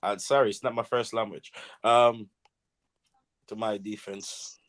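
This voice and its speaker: A man's voice: on the low side, fairly even intonation, measured pace, fairly bright tone. A man joking around on a casual online panel, laughing often and repeating himself. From Jamaica.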